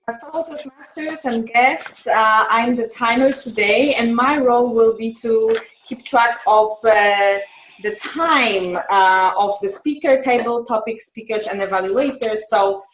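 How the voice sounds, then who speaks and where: A woman explaining her timer role at an online meeting, halting with many fillers. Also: fairly high pitch, reverberant, poor audio. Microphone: video call.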